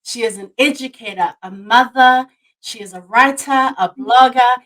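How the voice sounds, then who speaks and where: Woman, podcast host, high voice, bubbly, casual slang, video call. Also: normal speed, fairly bright tone, rough recording. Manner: bubbly, laughs often, says "sis" and "fam". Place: Japan.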